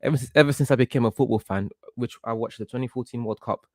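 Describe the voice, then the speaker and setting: Deep-voiced young man on a football livestream. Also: fast, no echo, studio quality. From Portugal. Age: young adult, about 18. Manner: excitable, reactive, repeats himself. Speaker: livestream host. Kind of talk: casual football watchalong commentary.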